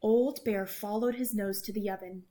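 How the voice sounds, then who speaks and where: A woman narrating a children's story in an expressive, deliberate storytelling manner. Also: mid-range voice.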